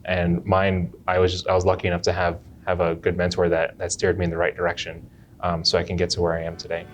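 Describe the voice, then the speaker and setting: Deep-voiced male chiropractor. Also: monotone, slight background noise. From Jamaica. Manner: unhurried, restarts phrases often. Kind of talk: interview answers.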